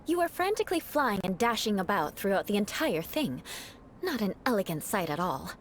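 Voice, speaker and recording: A woman's voice: mid-range voice, big pitch swings, brisk, slight background noise, close to an everyday voice. A woman playing a haughty young knight in a video game, formal and theatrically stern, with talk of revenge that masks a caring side. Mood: stern, reproachful.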